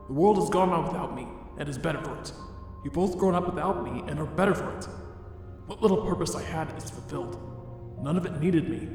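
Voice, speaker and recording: A man's voice: on the high side, a little mellow, lightly acted. Man voicing a despairing skeleton scientist, drenched in echo. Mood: bitter, despairing. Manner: resigned, formal, deliberate phrasing. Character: despairing, weary, self-loathing scientist, male skeleton monster. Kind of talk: dramatic comic dub. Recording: echoey hall reverb under music.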